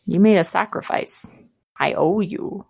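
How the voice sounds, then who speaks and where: A woman's voice: lowish voice, unhurried, vocal fry, darkish tone, husky, exaggerated voice. An actress playing a nervous, self-mocking young woman who feels queasy, in a radio play. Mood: anxious. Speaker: actor.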